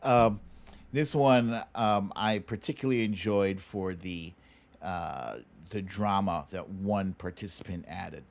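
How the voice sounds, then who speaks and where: A man's voice: low-pitched, takes it slowly, gravelly. An American man hosting a livestream with casual, humorous political commentary in a mocking, conversational way.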